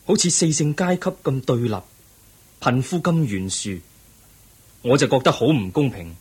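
Radio drama lead: a world-weary young prince, Hong Kong Cantonese accent, markedly husky, reflective and formal. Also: male voice, medium pitch, very animated, moderate pace. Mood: calm, resolute. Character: pensive young crown prince, weary of palace pleasures.

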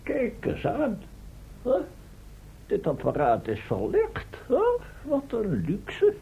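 A man's voice: sits high, wildly swooping pitch, slow pace, gravelly, dark tone, husky, heavily acted. A man playing a vain, fussy professor in a radio play, speaking formally and pompously on an old, muffled recording. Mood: delighted, curious. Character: vain, fussy male professor, time-travelling scientist hungry for fame. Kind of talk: vintage Dutch radio drama acting.

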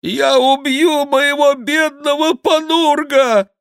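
A man's voice: very high-pitched, lively intonation, takes it slowly, a bit bright, no echo, studio quality, pitched above the performer's own voice, heavily acted. A man voicing a panicky, whining monk in an audiobook, crying out plaintively and full of grievance. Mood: desperate wailing. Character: panicky, whining monk, clumsy rider, aggrieved and fearful. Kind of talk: audiobook character voice.

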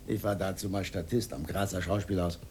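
A man's voice: low-pitched, fairly even intonation, fairly quick, a little mellow, slight background noise, lightly acted. An actor playing a timid, pedantic old man, speaking hesitantly and formally in a radio drama. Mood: reminiscing. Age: older.

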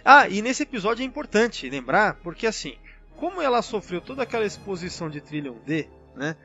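A man's voice: high-pitched, very animated, brisk, lo-fi sound, over music. A man with a Brazilian accent in a casual fan discussion, explaining things in an enthusiastic, rambling way as a podcast panelist.

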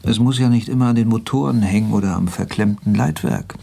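A man's voice: fairly low pitch, brisk, vocal fry, warm tone, husky. An actor playing a world-weary, sardonic flight instructor in a naturalistic radio play, laconic, mocking and fatalistic in his talk. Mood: sour, fatalistic.

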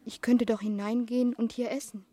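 A woman's voice: a little high, little pitch movement, fairly quick, mellow, slight background noise, close to an everyday voice. An actress playing a lonely, anxious young woman thinking to herself hesitantly in a radio drama. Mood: tentative. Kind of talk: radio drama interior monologue.

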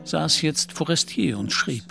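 A man's voice: on the high side, animated, unhurried, gravelly. Male narrator over music. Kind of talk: scripted literary radio play narration.

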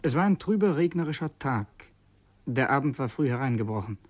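An actor playing an earnest, brooding revolutionary who narrates in an intense, halting way in a radio play. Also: male voice, mid-range voice, expressive, husky. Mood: melancholy. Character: earnest, brooding male revolutionary narrating his secret errand. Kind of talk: radio play, first-person dramatic narration.

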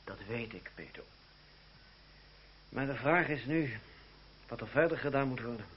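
A man's voice: medium pitch, lively intonation, measured pace, lightly acted. A man acting in an old radio play as a bitter, defiant young man grieving his friend, with theatrical, emphatic delivery. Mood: controlled, reproachful. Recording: muffled, noisy old radio recording.

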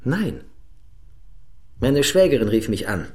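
A man's voice: medium pitch, big pitch swings, takes it slowly, darkish tone. An actor playing a status-proud male professor under questioning, guarded and pedantic, in a radio drama. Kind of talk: German radio drama acting.

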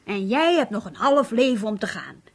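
A middle-aged housewife in a radio drama, practical and exasperated. Her fairly high, husky voice swings up and down as she needles and reproaches in colloquial speech. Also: big pitch swings, moderate pace, heavily acted. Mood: nagging, reproachful. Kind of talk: naturalistic Dutch radio play acting.

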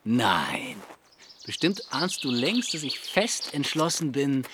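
Charming young suitor in period radio drama, very expressive intonation, a bit smug, birds in background. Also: male voice, mid-range voice, slow pace, background noise. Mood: smitten, confiding. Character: charming, self-assured young gentleman suitor in love. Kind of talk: German radio play acting.

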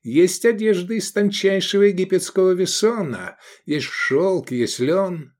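A man's voice: high voice, huge pitch swings, normal speed, darkish tone, slightly rough, pitched above the performer's own voice, heavily acted. A man voicing a fussy, obliging cloth merchant with ingratiating sales patter in an audiobook.

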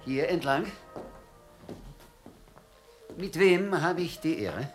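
An actor playing an embassy attaché who answers a police inspector with formal, guarded courtesy in a radio drama. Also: male voice, a little high, very animated, drawn-out words, faint music behind, full character voice. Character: polite, guarded male diplomat.

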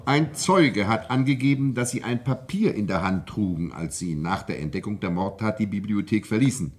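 Stern coroner, husky, widely varied intonation, formal probing questions, old German radio crime play. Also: male voice, lowish voice, lively intonation, normal speed. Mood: accusing. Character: stern, insistent male coroner questioning a witness. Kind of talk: vintage German radio crime drama acting.

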